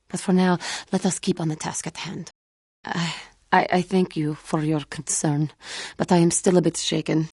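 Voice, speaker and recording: An actress playing a proud but guilt-haunted female feline alien warrior in a video game, speaking formally and earnestly, with halting care. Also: deep voice, dark tone, husky, no echo, studio quality. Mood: troubled, earnest. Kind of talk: scripted video game character dialogue.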